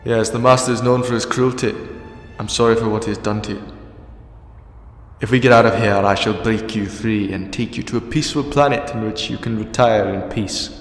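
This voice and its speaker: An actor playing a wry, rambling, eccentric time-travelling hero in an audio drama, with a talkative, quippy delivery. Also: male voice, fairly low pitch, fairly even intonation, brisk, natural voice. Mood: concerned, sincere. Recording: echoey, long reverb, music behind.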